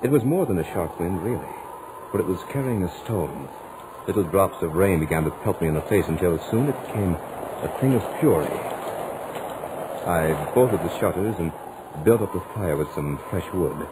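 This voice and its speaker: An actor playing an anxious student who narrates his mounting dread in a dramatic, deliberate 1940s radio horror style. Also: deep voice, lively intonation, noisy background. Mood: uneasy, brooding. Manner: dramatic, deliberate, suspenseful delivery. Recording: old 1940s radio recording, music behind. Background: dramatic musical score.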